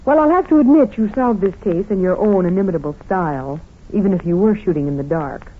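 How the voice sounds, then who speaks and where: A woman's voice: mid-range voice, expressive, quick pace, husky. An actress playing a flirtatious, curious female sidekick to a detective, in 1940s radio drama style. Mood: flirtatious, teasing. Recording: tinny vintage radio broadcast.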